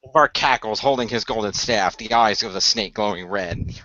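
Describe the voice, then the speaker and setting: Bright-voiced man narrating, halting delivery. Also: lowish voice, dry recording, lo-fi sound. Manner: halting, often stumbles and repeats phrases. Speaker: narrator and player in amateur radio play. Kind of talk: improvised present-tense story narration.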